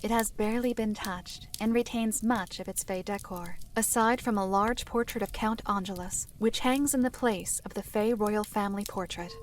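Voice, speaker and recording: A woman playing a solemn mystical seer who narrates a fantasy drama in a measured, formal way. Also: mid-range voice, slight background noise, close to an everyday voice. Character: solemn mystical female seer recounting past tragedies.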